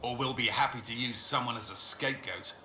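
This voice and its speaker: A man's voice: medium pitch, brisk, sharp tone. An actor playing a firm, exasperated male military commander in a fantasy video game, with a curt, dry, commanding way of talking. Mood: dry, dismissive. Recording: roomy echo, clear background noise.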